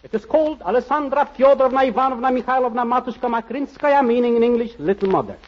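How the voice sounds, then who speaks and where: A man's voice: extremely high pitch, expressive, fast talker, a little hiss or hum. An actor playing a pompous, theatrical male singer in a 1940s radio comedy, talking in a florid, gushing way. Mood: grand. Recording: lo-fi old radio broadcast with music.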